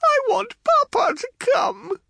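A man's voice: very high-pitched, expressive, takes it slowly, gravelly, pushed and bright, dry recording, clean studio sound, voice pushed up, exaggerated voice. A man voicing a small, frightened child who sobs while speaking in an audiobook. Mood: scared, tearful. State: crying.

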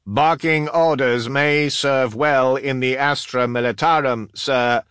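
Male reader as unflappable senior engineer, sci-fi audiobook. Formal, raised pitch, bright. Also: steady tone, clean tone, no room sound, clean studio sound. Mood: politely reproving. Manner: formal, measured, polite.

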